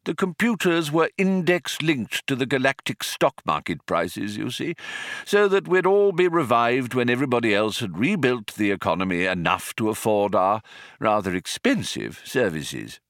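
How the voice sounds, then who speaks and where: Man voicing a kindly, vague old man, expressive lilt. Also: mid-range voice, dry recording, clean studio sound. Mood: vague, mild. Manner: vague, unhurried, wistful, gently formal. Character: kindly, absent-minded old alien man, solemn and polite. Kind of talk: audiobook character voice.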